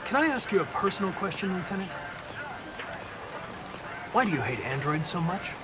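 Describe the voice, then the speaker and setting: A man's voice: sits high, big pitch swings, normal speed, noisy background. A man playing a polite young android detective in a video game, speaking formally and precisely in an earnest, analytical way. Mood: earnest, composed.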